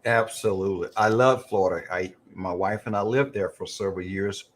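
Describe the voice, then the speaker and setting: A male talk show host with a fairly deep voice and a husky, rough edge. He speaks emphatically in a motivational interview style, often repeating a phrase to drive it home. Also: fairly quick.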